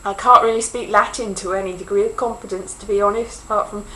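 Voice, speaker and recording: A woman from Wales talks to camera in a loose, wandering monologue, poking fun at herself with jokey asides. Her pitch sits mid-range for a woman, and a faint hiss of background noise runs under her voice. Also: steady tone, fast talker, pushed and bright, poor audio. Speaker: vlogger. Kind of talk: rambling casual monologue.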